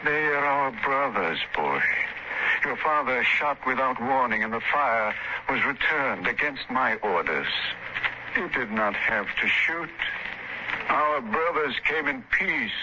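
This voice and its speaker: A man's voice: mid-range voice, animated, unhurried, lightly acted. Man acting a grave renegade leader, muffled radio. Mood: grave, firm. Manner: solemn, firm. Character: grave bearded renegade leader, protective of Martians. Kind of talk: 1950s radio drama acting. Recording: noisy, muffled old radio recording.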